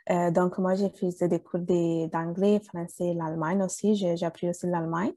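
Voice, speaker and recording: A woman's voice: on the low side, level tone, normal speed, dark tone, dry recording. Hesitant woman, dry sound. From Italy. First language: Bengali and Italian. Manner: hesitant, many fillers and restarts. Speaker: interview guest. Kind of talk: conversational interview.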